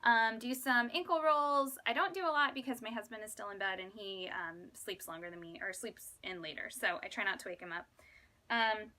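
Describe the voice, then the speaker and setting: A woman in her thirties chatting casually to viewers in an animated, self-deprecating, exclamatory way, like a vlogger. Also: medium pitch, normal speed. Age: 30s. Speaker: vlogger and fifth grade teacher. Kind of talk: casual chatty vlog to camera.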